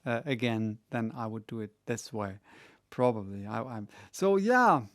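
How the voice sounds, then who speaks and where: A middle-aged man casually telling a story, rambling with many restarts and getting animated when he retells a conversation. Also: medium pitch, measured pace. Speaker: audiobook reader answering questions. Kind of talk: casual monologue.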